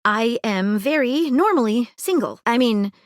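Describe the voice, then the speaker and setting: A woman's voice: on the high side, expressive, unhurried, no room sound, studio quality. A woman playing a curious, precise female detective in a video game, articulate and inquisitive. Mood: flustered, guarded. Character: living magnifying glass detective woman, keen, curious, precise, flirtable. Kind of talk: video game character acting.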